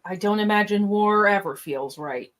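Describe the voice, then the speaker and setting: A woman playing a calm, gently flirtatious male first officer in an amateur sci-fi radio play. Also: mid-range voice, normal speed, lightly acted. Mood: gentle, curious.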